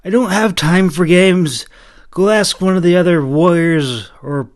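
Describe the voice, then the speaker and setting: A man's voice: high-pitched, a little slow, pitched above the performer's own voice. A man voicing a reluctant, wry masked warrior in a fantasy audiobook, grudging and evasive. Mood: exasperated.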